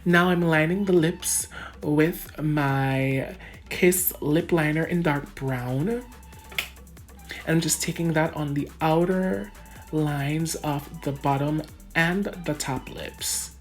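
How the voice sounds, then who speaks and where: Woman, Jamaican accent, deep voice, chatty makeup vlog talk, soft music underneath. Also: slow pace. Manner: chatty, addresses viewers as guys, laughs often. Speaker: beauty vlogger. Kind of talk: casual makeup tutorial narration. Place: home room under bright lights.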